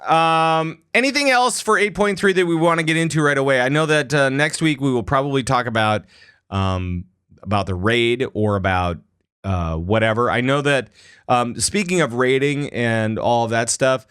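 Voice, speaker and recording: A man's voice: medium pitch, normal speed, fairly bright tone, clear, resonant tone. A man hosting a casual gaming podcast, rambling with lots of filler words. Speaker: podcast host.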